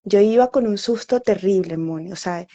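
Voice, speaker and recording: A woman's voice: medium pitch, moderate pace, mellow. A woman from Venezuela, an oncology nutritionist, chatting casually on a live stream with many fillers and frequent laughs. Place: home, living in Bogotá. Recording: dull lo-fi sound.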